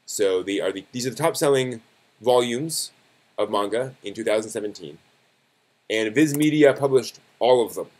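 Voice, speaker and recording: A man hosting a live stream, giving news commentary in a casual, conversational and somewhat halting way, often correcting himself. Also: fairly low pitch, animated, darkish tone.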